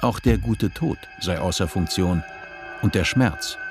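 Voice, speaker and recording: A man narrating a dystopian radio play in a measured, detached, literary manner. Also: sits low, over music. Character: male narrator of a dystopian story.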